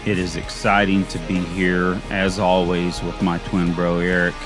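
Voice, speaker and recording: Low-voiced, earnest male podcast host. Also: completely flat delivery, big-room sound, music in the background. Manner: earnest, thankful, halting with fillers. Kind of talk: casual podcast chat.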